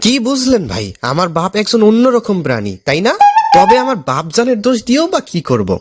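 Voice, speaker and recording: Young male Bangladeshi cartoon narrator: playful, mock-complaining, high-pitched and very lively in delivery. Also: a little fast. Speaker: cartoon narrator playing the son. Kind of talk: comic scripted storytelling. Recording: music behind the voice.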